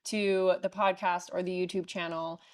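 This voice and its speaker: A woman's voice: lowish voice, moderate pace. A woman hosting a podcast from her living room, chatting casually with a guest, laughing often, with a soothing voice. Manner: laughs often, relaxed, many fillers. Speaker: podcast host. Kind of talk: casual chat.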